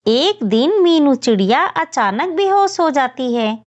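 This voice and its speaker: A woman's voice: high voice, sing-song, slow, lo-fi sound. A woman narrating a scripted children's story as the storyteller.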